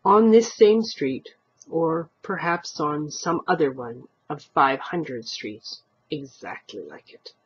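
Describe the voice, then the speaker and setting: A woman reading a short story aloud in an expressive narrating style. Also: sits low, slow, husky, dull audio. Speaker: amateur story reader.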